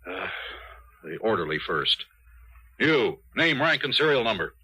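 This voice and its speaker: An American man playing a pompous, excitable army colonel in a dramatic 1950s radio play. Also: lowish voice, a little slow, breathy edge, heavily acted. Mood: flustered. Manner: dramatic, hesitant when flustered, commanding. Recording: noisy vintage 1950s radio recording.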